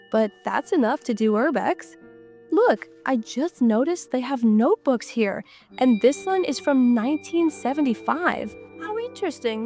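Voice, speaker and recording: A woman's voice: a little high, a little mellow. A woman with a stuffy nose from a cold, narrating her walk through an abandoned house in a chatty way and often exclaiming in amazement. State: congested from a cold. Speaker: urban explorer vlogger. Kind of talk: casual guided tour narration.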